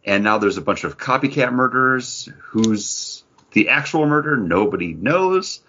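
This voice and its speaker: A man's voice: medium pitch, lively intonation, moderate pace, pushed and bright. A man co-hosting a podcast, chatting casually about comics, rambling with lots of fillers and restarts. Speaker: podcast co-host. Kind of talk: casual comic review chat. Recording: dull lo-fi podcast audio.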